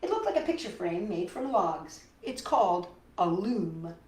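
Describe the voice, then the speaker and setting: A woman reading a picture book aloud to children, expressive and full of wonder, pausing for chatty questions to listeners. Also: medium pitch. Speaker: children's storytime host. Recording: roomy echo, distant microphone.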